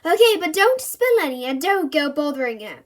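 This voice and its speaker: A woman voicing an impatient, suspicious mother who scolds her son loudly. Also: high-pitched, expressive, moderate pace, pitched above the performer's own voice. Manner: sharp, scolding and loud.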